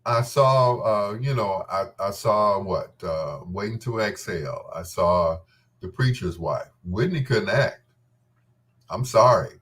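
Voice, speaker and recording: A man's voice: on the low side, lively intonation, slow, a bit bright. A man hosting a talk show with a casual, unscripted monologue, gossipy and emphatic, often repeating his phrases. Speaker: host of a bedtime talk show.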